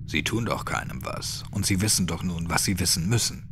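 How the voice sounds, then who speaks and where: A man's voice: deep voice, expressive, a little fast, noisy background. A man voicing a shaken, refined man who protests in a formal, subdued way, in a horror audiobook. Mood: indignant.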